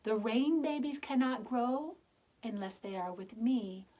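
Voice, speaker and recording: A woman voicing a gentle, stately moon spirit mother from a storybook, speaking slowly, solemnly and reassuringly. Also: a little high, pitched above the performer's own voice. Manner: slow, solemn, reassuring speech.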